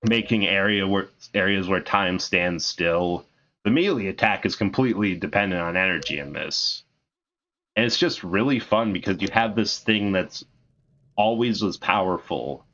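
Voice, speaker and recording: A man with a deep voice chats loosely over his gameplay, stopping and restarting his thoughts. He talks at an unhurried pace, with a bright, forceful edge to his low tone. Faint game music plays behind him. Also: highs cut off. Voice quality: deep voice suited to villains. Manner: rambling, self-interrupting, laughs at himself. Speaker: game streamer. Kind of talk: casual gameplay commentary.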